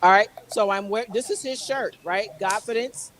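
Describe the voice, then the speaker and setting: A woman's voice: mid-range voice, moderate pace, fairly bright tone. Emphatic woman co-hosting a podcast. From New Jersey. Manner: emphatic, repeats words for effect. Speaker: podcast co-host. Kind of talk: casual chat.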